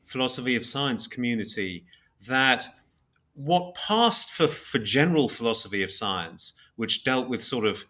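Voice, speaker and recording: A man speaking as an academic interview guest, explaining in a measured, scholarly way and pausing to search for words. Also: mid-range voice, lively intonation, pushed and bright.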